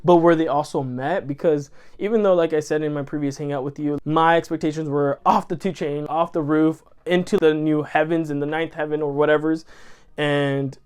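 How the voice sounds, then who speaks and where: A man's voice: a little high, steady tone, moderate pace, darkish tone, smooth. A man who grew up speaking Spanish, talking to camera in a rambling, earnest, effusive way and correcting himself as he goes. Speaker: channel host. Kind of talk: casual chat to camera.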